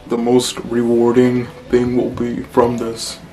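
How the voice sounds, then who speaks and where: Deep gravelly young man, flat delivery. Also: slow pace, soft background music, rough recording. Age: 20s. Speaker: vlogger. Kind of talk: casual Q&A vlog. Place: small room indoors.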